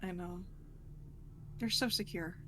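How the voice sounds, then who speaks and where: A woman's voice: lowish voice, slow pace. A woman playing a tabletop role-playing game, talking through her moves in casual game-table chat.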